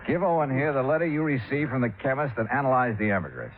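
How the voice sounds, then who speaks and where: An actor playing a wry, probing insurance investigator, narrating and conversing in a 1950s radio drama. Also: male voice, medium pitch, expressive, fast, lightly acted. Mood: cool, firm. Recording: muffled 1950s radio recording, loud music.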